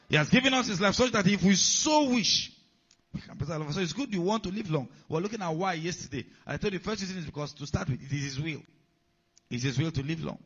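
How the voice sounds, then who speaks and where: Male preacher, bright tense voice, muffled lo-fi recording, repeats lines, asks listeners if they follow. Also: a little high, breathy edge. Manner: preaching with repetition and call-outs to the congregation. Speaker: pastor. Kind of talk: sermon. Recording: dull lo-fi sound.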